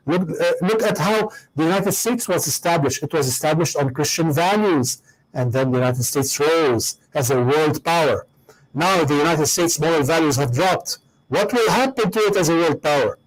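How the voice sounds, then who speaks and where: Emphatic guest, man, slightly high voice, repeats words when starting. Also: low-quality recording. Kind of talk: conversational argument.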